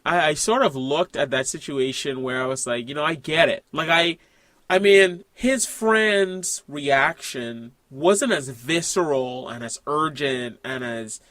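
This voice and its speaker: A man from the Bahamas with a bright, forward tone and a fairly high voice, speaking in a dry, close-miked recording. He talks casually and reflectively, often stopping to restart a thought. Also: no echo. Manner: reflective, halting, many restarts and fillers. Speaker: podcast co-host. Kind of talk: casual conversational film discussion.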